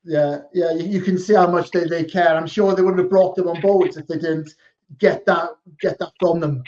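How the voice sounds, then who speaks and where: A man's voice: fairly high pitch, fairly even intonation, normal speed, a little mellow. A man from the Wrexham area, hosting a casual podcast chat, agreeable and joking. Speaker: podcast host. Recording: dull lo-fi sound.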